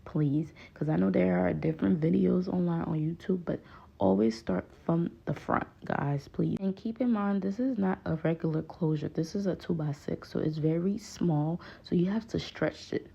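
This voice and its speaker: Gravelly-voiced Haitian-accented woman. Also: on the low side, normal speed, warm tone, muffled recording. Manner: patient, pleading, repeats "please, guys". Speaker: hairstylist. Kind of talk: voice-over tutorial.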